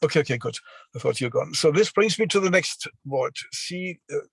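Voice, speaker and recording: A man in his sixties giving a motivational business talk over a video call, halting often with fillers and restarts. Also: a little high, expressive, creaky, slightly rough, dry recording. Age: 60s. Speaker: seminar speaker.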